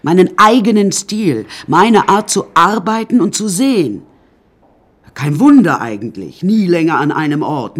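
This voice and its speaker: A woman's voice: low-pitched, heavily acted. An actress playing a vain, self-dramatizing woman artist who pompously narrates her life to listeners in a radio play.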